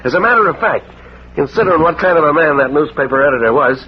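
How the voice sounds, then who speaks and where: Gruff, blunt cop, slightly high pitch, 1940s radio acting, dull period recording. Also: male voice, lively intonation, fast talker. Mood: emphatic. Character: gruff, blunt, plain-spoken police sergeant. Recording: muffled vintage radio recording.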